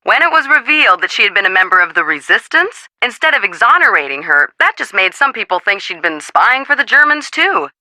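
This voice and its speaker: Bright, lively, precise female historian. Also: mid-range voice, brisk, few pauses, no echo. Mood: earnest, informative. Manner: precise, formal, explanatory. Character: articulate, earnest female historian explaining wartime history. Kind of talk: video game voice acting.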